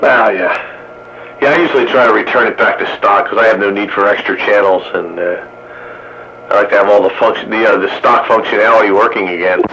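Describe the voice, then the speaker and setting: A man with a fairly low voice talks casually as a radio hobbyist. He explains things plainly and haltingly, with faint noise on the transmission. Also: pushed and bright, dull audio.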